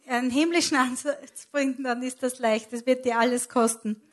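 A woman's voice: high-pitched, fairly even intonation, normal speed. A woman interpreting a sermon live, delivering it phrase by phrase and sometimes correcting herself.

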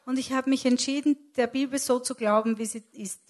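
Very high-voiced woman interpreting a sermon. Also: steady tone, fairly quick, a little mellow. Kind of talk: live consecutive interpreting of a sermon.

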